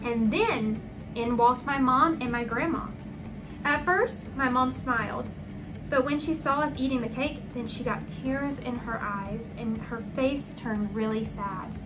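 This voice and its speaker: A young adult woman reading a children's storybook aloud in an animated, deliberate way. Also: on the high side, low-quality recording. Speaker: storybook reader. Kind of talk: expressive children's read-aloud narration. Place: small furnished room indoors. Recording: music behind the voice.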